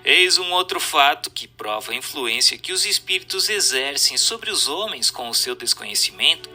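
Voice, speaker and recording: A man with a Brazilian accent reads a formal text as a narrator, in a measured, didactic storytelling delivery. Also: a little high, lively intonation, pushed and bright. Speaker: audiobook narrator. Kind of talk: scripted narration of a spiritist text, formal reading. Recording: voice over background music. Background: music.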